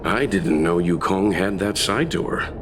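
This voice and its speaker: A male actor playing a polite, reflective traveler with gentle dry humor in a video game. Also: deep voice, a little slow, noisy background. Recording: music behind the voice.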